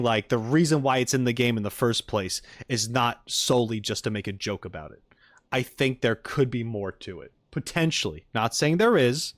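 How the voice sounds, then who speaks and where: A man's voice: mid-range voice, dry recording, studio quality. A man hosting a casual reaction livestream, chatting with viewers in a rambling, playful way and often repeating his points. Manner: playful, jokey banter. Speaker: livestream host reacting to game content. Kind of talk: casual chat with live viewers.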